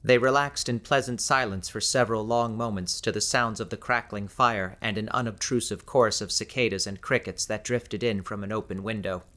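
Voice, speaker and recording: A man with a fairly low voice narrates an audiobook. His delivery is measured and descriptive, shaped as scripted fiction storytelling. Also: steady tone, pushed and bright.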